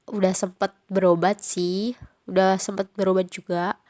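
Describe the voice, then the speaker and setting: A young woman with a Jakarta slang accent speaks in a relaxed, informal podcast chat. Her voice is audibly nasal and stuffed up from a cold. She leans on casual fillers as she talks, at a middle pitch. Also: normal speed. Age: young adult. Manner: chatty, informal, frequent fillers. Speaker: podcast host.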